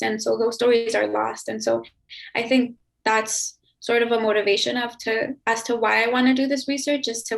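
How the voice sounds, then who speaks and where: Creaky higher-voiced American woman, explaining. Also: rough recording. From Chicago, United States. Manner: explanatory, often ends points with "right?". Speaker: interview guest, researcher. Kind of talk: reflective conversational interview.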